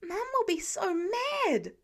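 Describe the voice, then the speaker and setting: A woman's voice: extremely high pitch, big pitch swings, takes it slowly, voice pushed up, exaggerated voice. A woman voicing an earnest, exasperated teenage boy in a fiction audiobook, protesting in an offhand, indignant way.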